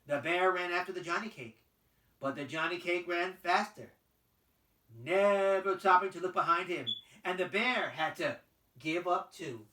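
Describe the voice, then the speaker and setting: A storyteller narrating a scripted folktale with theatrical, deliberate phrasing, as for children. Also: medium pitch, sharp tone, far from the mic. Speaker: puppet show storyteller. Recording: roomy, voice away from microphone.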